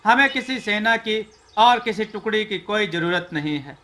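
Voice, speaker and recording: A man's voice: high voice, animated, moderate pace, sharp tone. A man voicing a confident young storybook prince with an earnest, declamatory delivery. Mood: confident, resolute. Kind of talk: storybook character dialogue.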